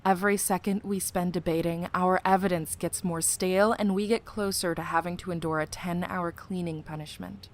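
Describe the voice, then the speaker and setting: A woman's voice: lowish voice, normal speed, few pauses, slight background noise, lightly acted. A woman playing a serious, no-nonsense young trainee agent, businesslike, in an audio drama. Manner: flat, businesslike. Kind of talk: scripted audio drama dialogue.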